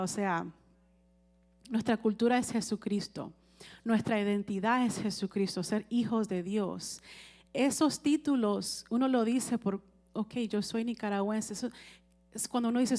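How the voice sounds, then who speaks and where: A woman's voice: a little high, a little mellow. A woman preaching a sermon in a conversational way, often checking with the congregation and asking for amens.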